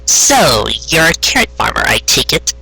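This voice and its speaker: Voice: mid-range voice, very animated, normal speed, gravelly, sharp tone, breathy edge, exaggerated voice, low-quality recording. A storyteller voicing a proud, self-important young female unicorn official with a pompous, assertive manner. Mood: businesslike.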